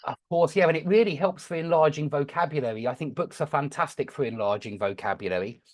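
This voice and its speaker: A man teaching an online English lesson, explaining and gently correcting his student's mistakes. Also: a little high, quick pace. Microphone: video call.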